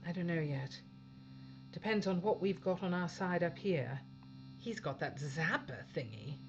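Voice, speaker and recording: A tough female starship captain, pitched fairly low with lively swings. She is blunt and authoritative, in sci-fi audio drama style. The recording is slightly muffled. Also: unhurried, faint music behind. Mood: wary, determined. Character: tough, commanding female captain of a failing spaceship. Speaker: actor playing a ship's captain.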